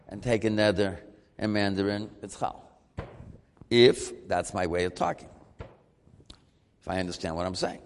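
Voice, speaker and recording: A man teaching a Talmud class, lively and questioning as he works through the argument. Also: lowish voice, in a large hall, a little hiss or hum. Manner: animated, questioning, mixing Hebrew terms.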